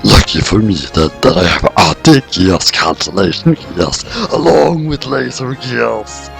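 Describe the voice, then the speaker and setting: Deep gravelly evil villain. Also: male voice, fairly bright tone, exaggerated voice. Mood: smug. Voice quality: gravelly growl. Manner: slow, menacing, taunting. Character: evil, gloating male villain with laser powers. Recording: music playing behind the voice.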